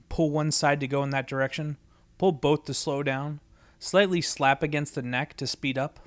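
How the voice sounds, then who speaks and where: A man's voice: on the high side, no room sound. A man reading a story aloud as scripted narration. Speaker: story reader.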